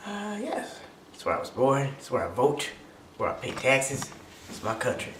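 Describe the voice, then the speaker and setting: A young man answering interview questions calmly and reflectively. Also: mid-range voice, normal speed. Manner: calm and reflective. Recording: distant mic in an echoey noisy room.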